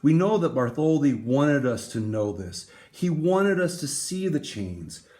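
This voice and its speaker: A man reading a picture book aloud, with dramatic emphasis and deliberate pauses. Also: fairly high pitch, big pitch swings, a little mellow. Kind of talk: expressive storybook read-aloud.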